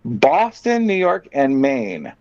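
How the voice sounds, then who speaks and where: A man hosts a casual podcast chat with a very animated, swooping delivery. He is sardonic and opinionated and swears freely as he reads things out and reacts. The sound is dull and lo-fi. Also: medium pitch, slow pace. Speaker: podcast host. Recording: dull, muffled lo-fi audio.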